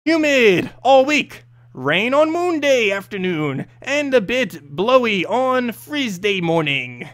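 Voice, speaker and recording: A man voicing a gleeful, self-important minor weather demon in a fantasy story, theatrical and excitable. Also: very high-pitched, slow pace, hardly any pauses, exaggerated voice. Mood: breezy.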